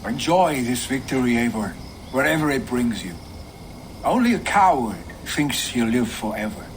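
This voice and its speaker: An actor playing a wry, boisterous Viking warrior in a game, teasing and jocular. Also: male voice, medium pitch, expressive, normal speed, a little hiss or hum, lightly acted, poor audio.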